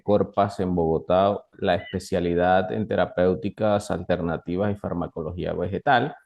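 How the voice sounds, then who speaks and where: A young man with a Colombian Caribbean accent, explaining things as an expert guest in an interview, in a halting way with repeated words. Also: low-pitched, warm tone. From northern Colombia. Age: young adult. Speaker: guest doctor, nutrition expert. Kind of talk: interview, explaining to a lay audience.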